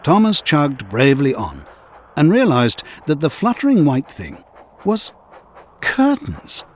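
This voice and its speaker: Expressive male children's storybook narrator. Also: fairly high pitch, a little slow, slight background noise.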